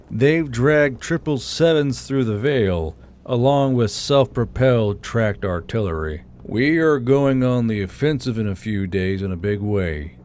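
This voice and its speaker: A man voicing a male military commander addressing his troops in a steady, commanding way. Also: fairly low pitch, a little hiss or hum.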